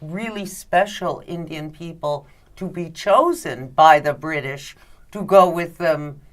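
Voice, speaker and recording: A woman host with a lowish voice leads a casual interview chat. she cuts in with wry, sarcastic one-liners and keeps it light. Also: slow pace. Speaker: talk show host.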